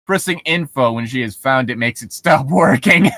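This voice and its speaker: A man's voice: mid-range voice, animated, moderate pace, pushed and bright, no echo. Casual young man joking, close dry sound. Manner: profane, giggly, rambling. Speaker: podcast co-host reading and riffing on horror stories. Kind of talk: casual joking chat with bits of reading aloud.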